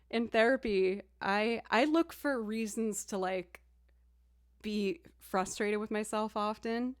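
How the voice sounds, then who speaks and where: A young woman streamer with a low alto voice chats casually between songs. She talks in a relaxed, self-deprecating way and stops often to thank viewers. The sound is close and dry, with no room echo. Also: clear, resonant tone. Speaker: streamer and musician. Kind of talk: casual live-stream chat.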